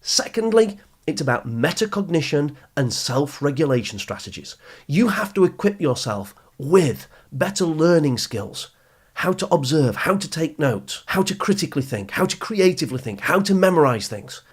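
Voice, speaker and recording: A man hosting a motivational advice talk for teenagers, speaking in an emphatic, deliberate teaching manner that stresses key phrases. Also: fairly high pitch, sing-song, husky. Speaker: podcast host and youth coach.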